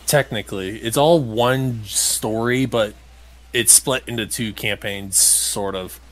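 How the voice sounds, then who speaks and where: A man's voice: lowish voice, slow, a bit bright. A man playing a video game and commentating casually, with blunt, grumbling remarks. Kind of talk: casual gameplay commentary chat.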